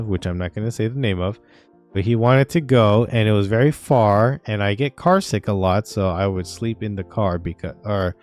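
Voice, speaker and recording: A young man with a somewhat low voice chats casually on a game stream, wandering through his stories with plenty of fillers and restarts. Soft game music plays faintly behind him. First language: Vietnamese. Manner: rambling, filler-heavy storytelling. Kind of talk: casual gaming stream chat.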